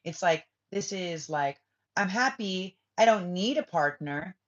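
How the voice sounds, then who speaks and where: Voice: mid-range voice, lively intonation, measured pace. A spiritual reader hosting a live stream, chatting casually to viewers and answering their comments. They ramble, restart sentences and swear bluntly. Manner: trails off and restarts often. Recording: dull lo-fi stream audio.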